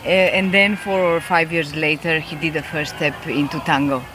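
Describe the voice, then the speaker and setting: A man's voice: a little high, normal speed, noisy background. A man interpreting in conversation, sentence by sentence.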